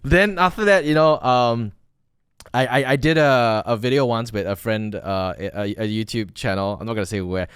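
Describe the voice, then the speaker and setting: A man with a Malaysian accent hosting a podcast, chatting casually with halting starts and fillers. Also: mid-range voice, a bit bright, clean tone, no echo. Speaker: podcast host. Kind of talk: casual conversation.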